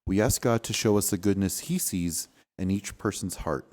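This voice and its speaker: A man's voice: low-pitched, moderate pace, darkish tone. A man reading a storybook aloud and chatting with children as their father, with an encouraging, patient manner.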